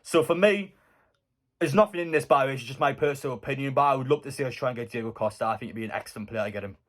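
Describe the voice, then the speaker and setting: A man's voice: medium pitch, very fast. A man giving conversational, opinionated football news commentary as a fan YouTuber.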